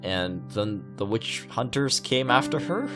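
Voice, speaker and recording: A man reading a visual novel aloud in a casual, halting way, dropping in his own asides. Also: mid-range voice, animated, music in the background.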